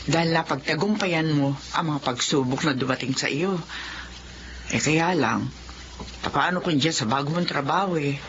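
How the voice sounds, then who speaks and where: A woman doing a fretting mother, low and lively voice, dull hissy recording. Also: normal speed. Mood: worried. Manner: fretful, nagging gently. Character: worried older mother. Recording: dull lo-fi audio with faint hiss.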